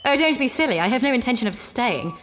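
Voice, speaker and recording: A woman's voice: fairly high pitch, sing-song, fast, a bit bright. An actress playing an unflappable, genteel woman tourist in a sci-fi audio drama, dry and politely pointed, with music behind. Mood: dryly amused.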